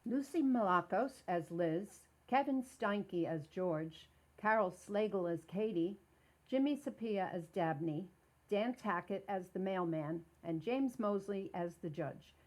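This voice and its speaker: A woman's voice: mid-range voice, animated, measured pace, darkish tone, low-quality recording. A woman announcer reading scripted credits for a radio theater show.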